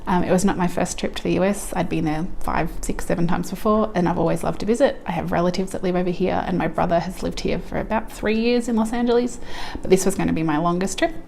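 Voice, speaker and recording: Low-voiced Australian woman with creaky fry. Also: fairly even intonation, quick pace, a little mellow, slight background noise. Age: early 30s. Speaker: vlogger introducing herself on a couponing channel. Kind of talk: casual scripted-feeling chat to camera.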